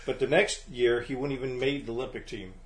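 A man's voice: mid-range voice, steady tone, a little fast, reverberant, crowd noise. An older man, the family's father, chatting easily and reminiscing. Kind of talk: casual family chat.

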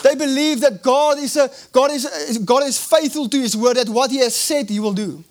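A South African man whose first language is Afrikaans preaches a sermon in a strikingly high-pitched voice. He leans hard on key phrases, drawing them out slowly, and often restarts his sentences mid-thought. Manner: preaching with emphatic drawn-out phrases and frequent restarts. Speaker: pastor.

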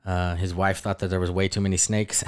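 A man's voice: deep voice, flat delivery, clear, resonant tone, soft background music. A man co-hosting a podcast, talking hesitantly about a movie he enjoyed. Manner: halting, hesitant, full of restarts. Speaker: podcast co-host. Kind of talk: casual movie review chat.

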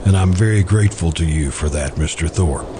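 A man playing a polite, grieving confessed murderer in a hushed, pleading manner, in the style of old-time radio suspense. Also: sits low, fairly quick, background noise, voice pushed down, natural voice. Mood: subdued, grateful.

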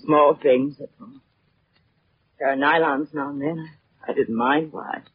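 A woman's voice: low-pitched, steady tone, a little slow, slight background noise. An American woman playing an anxious, world-weary singer under suspicion in a 1940s radio drama, hesitant and defensive. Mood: resigned. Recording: dull, muffled 1940s radio recording.

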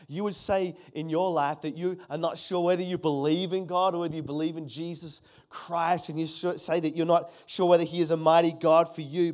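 A middle-aged man preaching a sermon in an emphatic way, repeating his key phrases and calling on the congregation to answer. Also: on the high side. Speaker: pastor.

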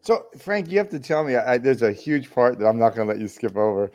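A man's voice: mid-range voice, brisk, a little mellow. A man hosting an interview, enthusiastic and eager as he introduces his guest and asks questions. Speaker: podcast host.

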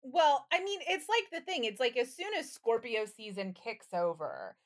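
A woman's voice: fairly high pitch, sing-song, moderate pace. A woman co-hosting a podcast, bantering casually in an emphatic, candid way and swearing freely. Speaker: podcast co-host.

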